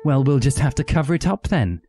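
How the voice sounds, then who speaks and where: A man's voice: medium pitch, big pitch swings, a little fast, mellow, faint music behind. A man voicing a mean, sadistic woman prison warden, mocking and cruel, in a satirical story.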